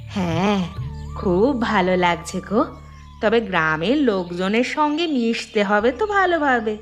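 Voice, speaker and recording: Loving, playful village wife for animation, female actor, expressive melodic delivery, faint music behind. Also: medium pitch, measured pace, natural voice. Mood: affectionate, teasing. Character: affectionate, gently teasing village wife. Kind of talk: cartoon dialogue acting.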